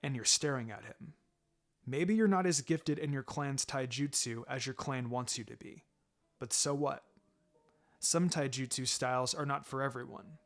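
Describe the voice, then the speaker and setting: Guy doing a low-key ninja teacher, calm n firm, encouraging w a dry teasing streak, music under it. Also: normal speed, natural voice. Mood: earnest reassurance. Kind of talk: fan fiction character dialogue.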